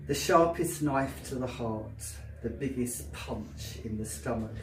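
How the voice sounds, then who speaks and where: A man's voice: mid-range voice, unhurried. A man with a South London Cockney accent giving a live poetry reading, with a chatty, filler-heavy introduction and a rhythmic, emphatic recitation. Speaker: poet. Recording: roomy, distant, noisy live venue.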